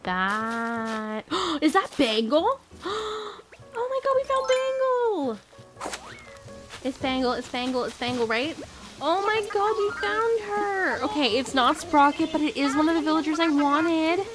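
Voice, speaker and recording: A young woman chatting casually on a gaming live stream, rambling with lots of fillers and bursting into excited squeals, her voice still slightly hoarse. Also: high voice, a little slow. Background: game music.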